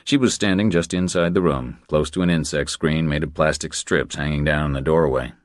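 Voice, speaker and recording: A man's voice: a bass voice, brisk, dry recording, clean studio sound. A man reading scripted crime thriller narration as an audiobook narrator.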